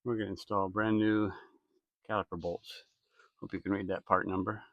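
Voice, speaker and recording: A man's voice: low-pitched, little pitch movement, normal speed, a bit bright, dry recording, highs cut off. A man giving a casual, plainspoken how-to while he works with his hands, with noise and clicking behind him. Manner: plainspoken, folksy, talks while working. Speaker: DIY mechanic narrating a repair. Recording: clear background noise, clicks. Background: clicks and noise of repair work.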